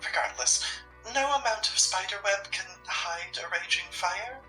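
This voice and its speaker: A woman plays a guarded, pragmatic occult shopkeeper in a horror drama. Her sharp, forceful voice is curt and dry, heard from a little way off in a roomy space with music behind. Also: mid-range voice, measured pace. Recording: roomy, distant mic with music.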